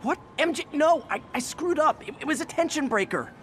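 An actor playing a young, quippy, well-meaning superhero in a video game, awkward and self-deprecating. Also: male voice, very high voice, lively intonation, a little fast, a little hiss or hum. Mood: flustered. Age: young adult. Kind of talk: naturalistic video game acting.

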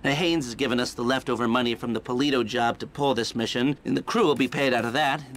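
A man acts out a middle-aged criminal planner in a video game. He is nervy and sarcastic, explaining in excitable, halting bursts. His voice is sharp and bright, with faint noise behind it. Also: medium pitch, lightly acted. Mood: businesslike, wry. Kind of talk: video game cutscene acting.

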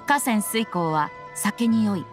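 A woman's voice: medium pitch, animated, slow pace, music in the background. A woman voicing a carefree, playful teenage boy bard in an anime-style video game, with a breezy manner. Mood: amused. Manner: breezy, playful, self-admiring. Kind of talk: anime game dialogue acting.

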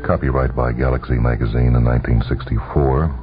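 A man narrating a literary story for radio with dramatic, deliberate phrasing. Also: extremely low pitch, fairly even intonation. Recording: tinny vintage radio broadcast, music behind. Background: music.

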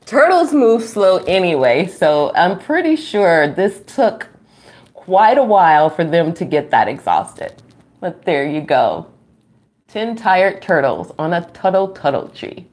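A middle-aged woman reading a children's book aloud at home, expressive and playful, with chatty asides to young listeners. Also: on the low side. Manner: expressive, playful, drawn-out reading, frequent chuckles and gasps. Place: small room at home.

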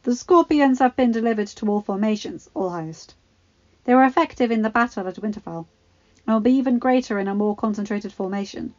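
A woman voicing a cunning male former maester who gives calm, persuasive counsel, in a slightly dull lo-fi recording. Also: a little high, brisk, natural voice.

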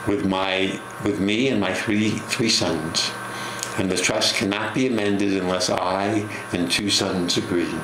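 Older male storyteller, low-pitched, halting delivery, echoey noisy studio sound. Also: fairly even intonation. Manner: halting, repeats words. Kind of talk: personal storytelling in conversation. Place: indoor TV studio. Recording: roomy echo, clear background noise.

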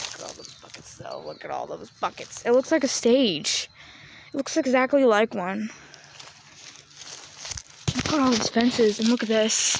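A young woman vlogging while walking around a farm yard, chatty and often dropping to a whisper. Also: on the high side. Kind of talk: casual walk-around vlog. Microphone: handheld phone camera. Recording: noisy outdoor phone audio.

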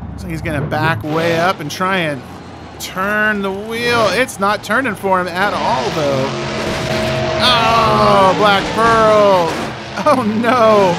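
A man's voice: sits high, slow pace, very noisy. A man hosting and announcing a monster truck game event with exclamatory, cheering play-by-play commentary. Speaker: video host and event announcer.

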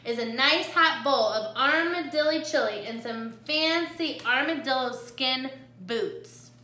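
Storybook villain: woman as scraggly she-coyote, hungry, snarling, bossy, forceful tone, roomy sound. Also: high voice, slow, slight background noise, heavily acted. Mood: hungry, snappish. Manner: snarling, demanding. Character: hungry, scraggly female coyote, growling and menacing.

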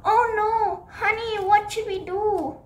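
A woman voicing a friendly young girl crane in a children's story, excited and high-pitched. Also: takes it slowly. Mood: panicked. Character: friendly pink girl crane, cheerful and caring.

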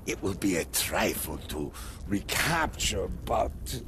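An actor playing a brutal middle-aged island dictator general in a game, grandiose and menacing, with pompous deliberate delivery. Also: male voice, deep voice, very animated, background noise, exaggerated voice. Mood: cold menace. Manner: pompous, deliberate, theatrical, formal phrasing. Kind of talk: dramatic video game cutscene acting. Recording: music behind the voice.

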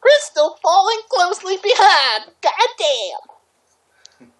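Female casual reader, extremely high voice, slow delivery, jokey and irreverent. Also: big pitch swings. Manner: irreverent, playful ad-libbing. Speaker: reader in a group fan fiction reading. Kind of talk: casual, jokey read-aloud among friends.

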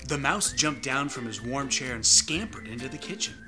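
A man's voice: mid-range voice, moderate pace, over music. A man in his thirties, reading a children's story as a co-host with comic banter. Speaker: co-host and story reader, comedian. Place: small room, indoors, seated on a sofa.

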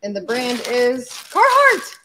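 A young woman co-hosts a live unboxing, talking slowly while her pitch swings up and down with excitement. She is recorded in a room full of boxes, and there is clear noise underneath. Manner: slow, excitable exclamations. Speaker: co-host. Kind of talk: casual live-stream unboxing chat. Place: storage room stacked with boxes.

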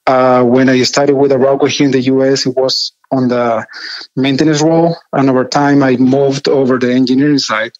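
A man in his thirties from Chile, with a Chilean Spanish accent, telling his story conversationally as an interview guest in measured, hesitant phrases. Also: mid-range voice, level tone, no echo, highs cut off. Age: 30s. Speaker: podcast guest, engineering project manager.

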